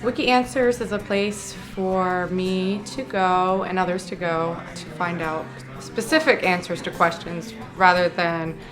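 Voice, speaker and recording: A woman from New Jersey, a site supervisor, answering interview questions in a chatty, measured way. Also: lowish voice, steady tone, over music.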